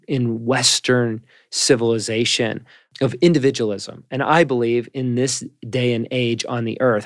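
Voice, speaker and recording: Earnest male co-host with a preacherly cadence, mid pitch, dry studio sound. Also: no room sound. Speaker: podcast co-host. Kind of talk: reflective faith conversation.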